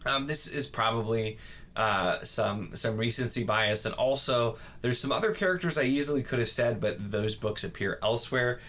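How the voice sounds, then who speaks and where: A man chatting casually to camera as a book vlogger, in a halting, rambling way with frequent false starts. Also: medium pitch, fairly bright tone. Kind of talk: casual chat to camera about books.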